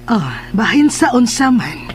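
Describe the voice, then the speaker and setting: A woman's voice: fairly high pitch, lively intonation, unhurried, soft background music. A woman playing a chatty, candid motherly older woman in a radio drama, exclaiming and drawing out her words. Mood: curious.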